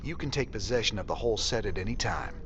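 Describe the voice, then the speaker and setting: A man's voice: lowish voice, expressive, fast talker, noisy background, close to an everyday voice. A man playing a sardonic, weary but defiant rebel commander in a video game cutscene, folksy and wry. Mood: wry, skeptical. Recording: muffled, loud music behind.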